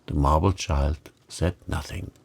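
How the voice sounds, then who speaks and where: A man gently narrating a bedtime story in a calm, measured way. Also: extremely low pitch, vocal fry, slightly rough. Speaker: audiobook narrator.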